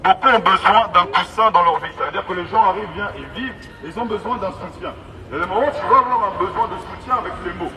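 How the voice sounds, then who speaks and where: High-pitched male street preacher on a megaphone. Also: quick pace, a crowd in the background. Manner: emphatic, repetitive, exhorting. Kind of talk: evangelistic street sermon. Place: outdoors in an urban street. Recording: distant, noisy outdoor megaphone with street traffic.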